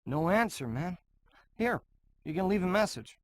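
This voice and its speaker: Teasing buddy crewman, casual, super lively pitch, game cutscene. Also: normal speed, no echo. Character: wisecracking, easygoing male crew sidekick.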